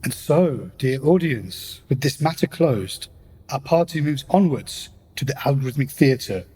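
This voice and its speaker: A man's voice: medium pitch, expressive, measured pace, mellow, husky. A man playing a formal, showmanlike narrator in a scripted radio play. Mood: grand. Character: theatrical male narrator addressing his audience.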